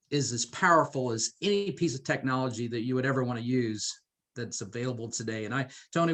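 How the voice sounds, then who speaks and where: A man's voice: mid-range voice, a bit bright. Middle-aged man hosting a business interview. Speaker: host. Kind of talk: conversational business training interview.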